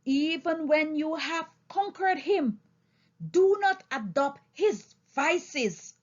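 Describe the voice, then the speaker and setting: A woman voicing an old male boar, a solemn, rousing orator addressing fellow animals in an audiobook, deliberate and emphatic. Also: sits high, dull audio, full character voice. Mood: stern, commanding. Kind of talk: audiobook character speech and song.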